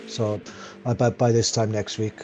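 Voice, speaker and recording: A man's voice: fairly low pitch, level tone, moderate pace, noisy background. A man co-commentating on a sim race in a casual, rambling, self-mocking way over an online call.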